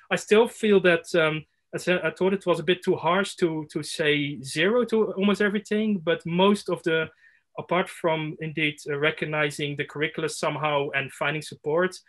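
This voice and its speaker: Guy in his 30s on a laptop call from home, lots of ums and restarts, kinda high voice. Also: fairly bright tone. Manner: hesitant, many fillers and restarts. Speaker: workshop participant. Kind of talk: reflective workshop sharing. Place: home room with window blinds. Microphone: laptop video call.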